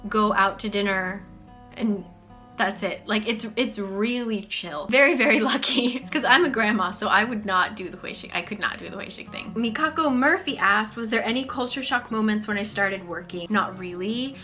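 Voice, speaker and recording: Bright chatty American woman in her twenties. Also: medium pitch, moderate pace, faint music behind. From United States. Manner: chatty, lots of "like" and "um", animated. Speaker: vlogger. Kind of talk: casual Q&A chat to camera. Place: small bedroom office, indoors.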